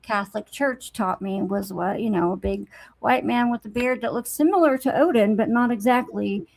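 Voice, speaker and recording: A woman's voice: a little high, moderate pace, gravelly, darkish tone, no echo, rough recording. A woman chatting casually about her beliefs in a reflective, plain-spoken and opinionated way. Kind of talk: casual spiritual conversation.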